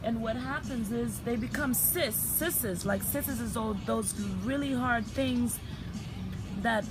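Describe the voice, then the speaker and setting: A woman's voice: on the high side, slow pace, poor audio. A young woman from Africa vlogging in a meandering, chatty, profane way. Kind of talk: rambling casual monologue. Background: loud music.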